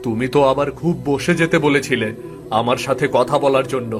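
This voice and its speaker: A man's voice: mid-range voice, a little fast, over music, close to an everyday voice. A man playing a hard-up, worried village farmer in a cartoon, grumbling and dismissive as he talks. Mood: worried, irritable. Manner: grumbling, dismissive toward his wife. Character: worried, brusque village husband and son, hard-up small farmer. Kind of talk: dramatised cartoon dialogue.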